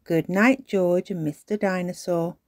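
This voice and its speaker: A woman voicing a kindly, patient elderly grandmother pig in a children's storybook, coaxing and gently indulgent. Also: on the low side, fairly even intonation, a little slow, no room sound, clean studio sound. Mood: coaxing, fond.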